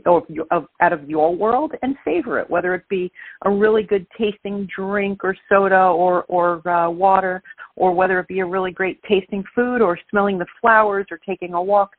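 A middle-aged woman with a Brooklyn accent speaks over a tinny phone line. Her voice is husky. She talks with energy and stacks up emphatic repetitions. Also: mid-range voice, no room sound. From Brooklyn, New York. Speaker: guest speaker. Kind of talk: phone-in interview, casual.

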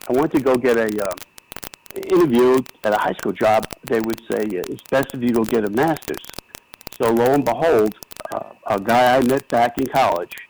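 A man's voice: mid-range voice, a little mellow. An older American man reminiscing in a casual, rambling and earnest way as an interview guest over the telephone. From New York City, United States. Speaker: retired basketball coach, interview guest. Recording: noisy phone interview with clicks.

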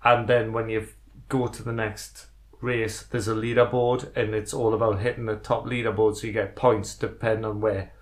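A man's voice: fairly low pitch, little pitch movement, normal speed. A man co-hosting a podcast in casual, opinionated conversation with some offhand swearing. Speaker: podcast co-host. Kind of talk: casual chat about retro video games.

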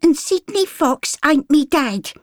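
A woman reading aloud voices a poor little street girl, timid but defiant. The voice is very high and bounces about, recorded close and dry. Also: expressive, takes it slowly, no echo.

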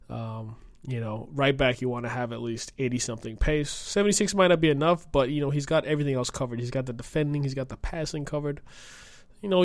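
Croaky young man with a cold. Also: medium pitch, dry recording. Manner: chatty, rambling, lots of fillers. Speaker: podcast host and streamer. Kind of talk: casual solo podcast.

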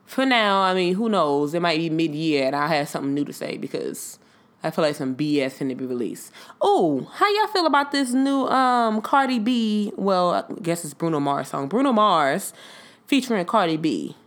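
A woman's voice: fairly low pitch, big pitch swings, normal speed. A woman hosting her own podcast, talking casually and bluntly to her listeners, her voice congested and nasal from a cold. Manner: candid, blunt, swears freely, addresses listeners as y'all. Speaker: podcast host. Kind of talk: casual solo podcast monologue.

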